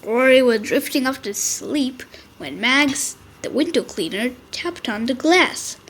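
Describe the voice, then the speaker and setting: A woman reading a children's picture book aloud, clear and expressive. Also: high voice, measured pace, a little hiss or hum. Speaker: children's storyteller.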